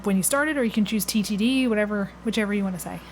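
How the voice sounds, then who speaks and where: Lady podcast host asking casual questions, mid pitch, bit of noise in the background. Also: rapid-fire. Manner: informal, reacts often with short interjections. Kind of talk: casual interview chat.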